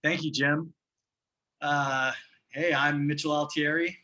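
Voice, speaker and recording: A man speaking casually as a guest film director, in a halting, conversational way that repeats words. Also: on the high side, fairly even intonation, pushed and bright. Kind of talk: casual spoken introduction.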